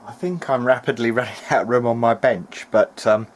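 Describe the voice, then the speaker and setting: A man with a mid-pitched voice talks casually through a hobby repair at his workbench. He is tentative and unscripted, working things out aloud as he goes. The home recording has noticeable background noise. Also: steady tone, brisk. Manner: hesitant, thinking aloud, tentative. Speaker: hobbyist video maker repairing vintage audio gear. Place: home workbench, speaking behind a handheld camera. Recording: clearly noisy amateur home recording.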